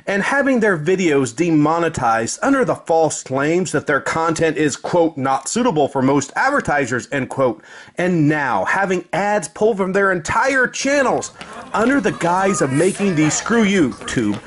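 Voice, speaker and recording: A man's voice: on the high side, big pitch swings, measured pace. A man reading a scripted, dramatic introduction as a narrator. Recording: roomy sound with music underneath.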